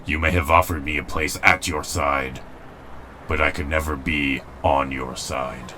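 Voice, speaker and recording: An actor playing a weary, principled robot rebel leader in a comic dub, speaking gravely and formally. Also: male voice, extremely low pitch, fairly even intonation, measured pace, pushed and bright, a little hiss or hum, heavily acted. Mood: somber. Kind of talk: dramatic comic dub acting.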